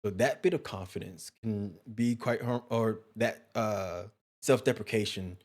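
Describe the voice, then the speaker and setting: A man's voice: lowish voice, a little slow, a little mellow. Rambling, self-deprecating male host. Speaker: interview host. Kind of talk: casual interview chat.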